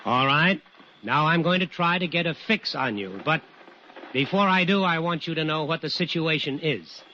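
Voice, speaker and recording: An actor playing an earnest, commanding air traffic controller under pressure in an old-time radio drama, speaking firmly and deliberately. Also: male voice, on the high side, pushed and bright. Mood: firm, grave. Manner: deliberate, firm, instructing. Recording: noisy, muffled old radio recording.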